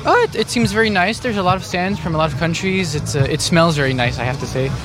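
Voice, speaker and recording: A man's voice: fairly high pitch, animated, quick pace, over music. A man from Canada answering a street interview at a food festival, hesitant and full of ums. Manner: hesitant, many ums and uhs. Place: outdoor food festival in a city square.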